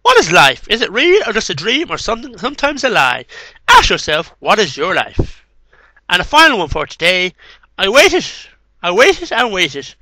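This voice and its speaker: A man's voice: sits high, huge pitch swings, moderate pace, pushed and bright, no room sound, low-quality recording. A man in his thirties reading poetry as an amateur, with casual asides, into a headset mic in a small room. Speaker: amateur poetry reader and video maker. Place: small cluttered bedroom. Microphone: headset microphone.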